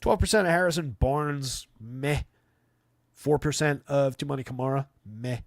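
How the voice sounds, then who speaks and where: A man's voice: medium pitch, moderate pace, dry recording. A man hosting a live fantasy basketball stream, talking through analysis off the cuff in a conversational, dry way. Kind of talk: live unscripted sports analysis with chat replies.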